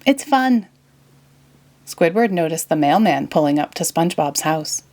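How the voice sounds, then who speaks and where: A woman reading a children's story aloud in an expressive, deliberate way. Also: low voice. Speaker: storybook reader. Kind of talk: children's read-aloud narration.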